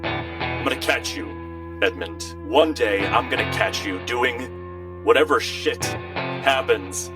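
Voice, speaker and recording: A man voicing a gruff, menacing lawman in a tabletop game, muttering his threats. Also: medium pitch, slow, long pauses, pitched above the performer's own voice, natural voice. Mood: menacing, irritated. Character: gruff, menacing male lawman hunting wrongdoers. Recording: music behind the voice.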